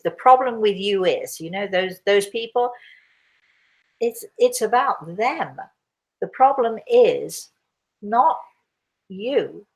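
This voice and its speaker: A middle-aged woman, a host with a croaky voice, chatting casually and rambling with many restarts as she answers viewer questions. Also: mid-range voice, a little mellow, poor audio. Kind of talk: casual live chat.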